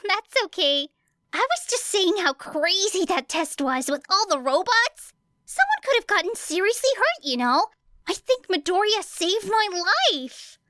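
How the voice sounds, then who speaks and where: A woman's voice: extremely high pitch, big pitch swings, measured pace, sharp tone, dry recording, studio quality, voice pushed up, exaggerated voice. A woman voicing a bubbly, enthusiastic teenage schoolgirl in an audiobook story. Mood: friendly chatty. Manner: exclaiming, enthusiastic.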